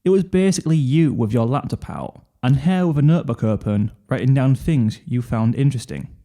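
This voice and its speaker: A man's voice: medium pitch, expressive, fairly quick, warm tone. A man narrating a second-person fan fiction story.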